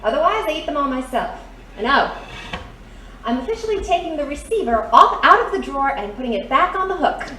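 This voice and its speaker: A woman's voice: sits high, sing-song, a little fast, sharp tone, exaggerated voice. A woman playing a perky, doting girlfriend in an audio drama, chirpy, coaxing and playful. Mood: cheerful, coaxing. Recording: roomy, distant, faint noise.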